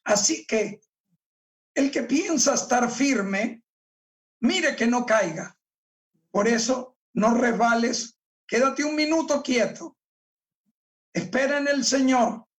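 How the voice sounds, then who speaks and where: A male pastor preaching a sermon, reading scripture aloud and explaining it with deliberate emphasis on key words. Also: very high-pitched, recorded from a distance. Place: church.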